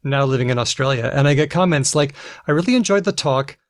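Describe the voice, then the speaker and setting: A man's voice: mid-range voice, lively intonation, fast talker, no room sound, studio quality. An American man hosting a conversational podcast interview, speaking in a reflective, anecdotal way. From United States. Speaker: podcast host and linguist.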